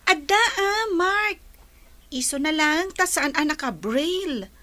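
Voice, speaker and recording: Woman as a kind, high-voiced schoolgirl. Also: lively intonation, slow, sharp tone, no echo, heavily acted. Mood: helpful. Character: kind, helpful blind schoolgirl classmate. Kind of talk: radio drama acting.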